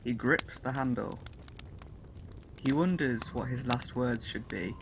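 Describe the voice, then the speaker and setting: A man narrates with a slow, deliberate delivery, building to intense swells at the climactic moments. His voice sits at an ordinary middle pitch. A loud wash of ambient noise runs beneath him throughout. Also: vocal fry. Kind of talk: dramatic audiobook narration.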